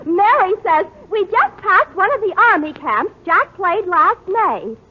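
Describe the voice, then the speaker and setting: 1940s radio comedy, woman as a squeaky excitable sidekick, very high and sing-song, muffled old recording. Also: measured pace, heavily acted. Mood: chirpy.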